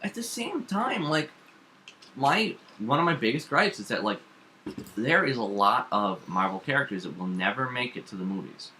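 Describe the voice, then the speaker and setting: A man co-hosting a casual talk show, giving opinions in an animated, sarcastic manner. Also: mid-range voice, normal speed, slight background noise. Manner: animated, sarcastic, acts out mock dialogue. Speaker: co-host of a comics and TV talk show.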